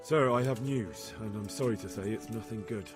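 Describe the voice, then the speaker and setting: A man's voice: on the low side, normal speed, music in the background, natural voice. An actor voicing an earnest, respectful young man-at-arms in a medieval video game cutscene. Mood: grave, reporting. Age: young adult.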